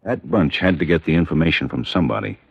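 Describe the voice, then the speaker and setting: An actor playing a brisk, businesslike American police chief, direct and questioning, in an old radio drama. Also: male voice, very deep voice, lively intonation, creaky, over loud music, full character voice. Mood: pressing. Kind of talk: 1950s radio drama acting. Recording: dull vintage radio recording.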